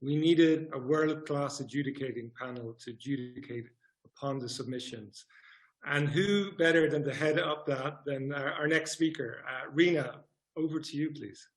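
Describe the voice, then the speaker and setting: A man hosting a webinar, introducing speakers in a polite, halting, hesitant manner. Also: medium pitch, poor audio. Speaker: webinar host.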